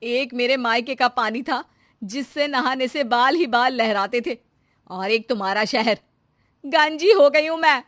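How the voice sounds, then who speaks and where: A woman voicing a fretful, sharp-tongued middle-aged housewife in an animated story, complaining dramatically. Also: on the high side, measured pace, dry recording, studio quality. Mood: whiny lament.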